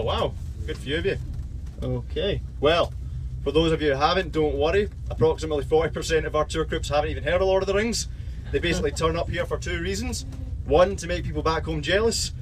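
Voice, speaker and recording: A young Glaswegian man speaks into a bus microphone, brisk and chatty as he guides a tour group. His words come quickly over a steady engine rumble. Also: low-quality recording. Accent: Glaswegian Scottish. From Scotland. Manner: upbeat, chatty, addresses group as guys. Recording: loud bus engine noise. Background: vehicle engine noise.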